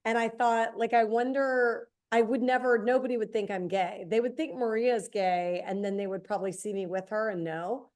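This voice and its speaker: A woman in her forties co-hosting a podcast, hoarse today, chatting casually with quick self-deprecating asides. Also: on the high side, fairly even intonation, darkish tone. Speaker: podcast co-host. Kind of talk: casual chat.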